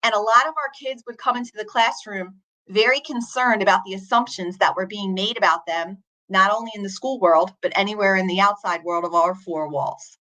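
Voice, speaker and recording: Woman guest, 40s, earnest and reflective storyteller, bright clear tone. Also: medium pitch, fairly quick, hardly any pauses, low-quality recording. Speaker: teacher and author, podcast guest. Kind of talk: storytelling in an interview.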